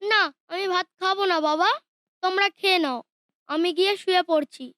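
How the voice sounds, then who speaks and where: A woman's voice: extremely high pitch, normal speed, sharp tone. A woman voicing a sweet, secretive young boy in a cartoon who talks hesitantly. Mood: childlike. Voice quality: childlike, hesitant.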